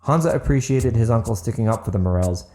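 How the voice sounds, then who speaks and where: A man's voice: mid-range voice, animated, fast, mellow. A man reading a scripted story as a dramatic audiobook narrator.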